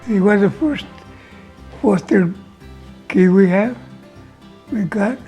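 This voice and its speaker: An older man with a Mexican Spanish accent speaks very slowly in simple, broken English. His voice sits at a middle height, and soft guitar plays behind him. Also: high-pitched, slightly rough. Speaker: interviewee, foster father. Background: soft guitar music.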